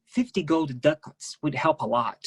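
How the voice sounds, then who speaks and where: Earnest ship worker. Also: female voice, low voice, very animated, husky, heavily acted. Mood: earnest, hopeful. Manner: halting, simple non-native phrasing. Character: idealistic young Brazilian cruise-ship worker, earnest and secretive. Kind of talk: dramatic staged play reading.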